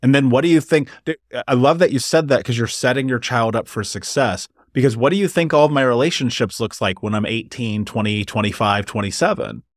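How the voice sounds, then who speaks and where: A man's voice: mid-range voice, a little fast, few pauses, no room sound, studio quality. A man hosting a podcast interview, earnest and motivational, speaking directly to his listeners. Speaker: podcast host. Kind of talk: conversational interview.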